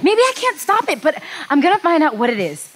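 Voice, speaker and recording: A woman playing a determined, rebellious space officer in a live comedy cartoon read, with a husky voice. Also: high-pitched, very animated, fairly quick.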